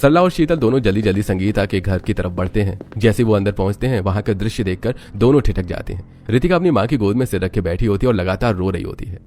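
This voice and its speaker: A man's voice: on the low side, expressive, fast, few pauses, darkish tone, faint music behind. A man reading scripted, dramatic narration for a family story.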